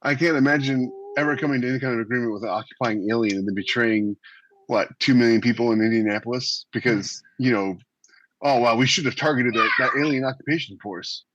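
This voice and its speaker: A man with a mid-range voice chats casually as a podcast co-host. He is animated and likes to exaggerate playfully. There is a faint noise behind him on the recording. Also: medium pitch, quick pace, muffled recording. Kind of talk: casual, jokey chat.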